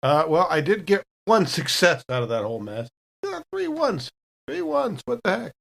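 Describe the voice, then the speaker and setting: A woman's voice: fairly low pitch, sing-song, normal speed, creaky. A woman playing a tabletop roleplaying game, chatting casually in a playful, theatrical way. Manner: theatrical, jokey.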